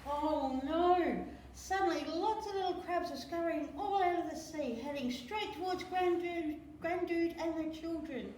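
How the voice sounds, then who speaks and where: Woman, children's story reader, expressive, unhurried delivery, very reverberant room, faint background noise. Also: very high voice. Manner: expressive, dramatic storytelling with drawn-out words. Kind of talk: animated children's picture book reading.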